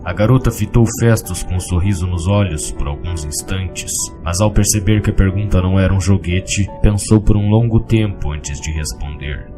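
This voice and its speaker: A man's voice: low-pitched, moderate pace, few pauses. A man with a Brazilian accent narrating a scripted storybook tale. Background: music with guitar.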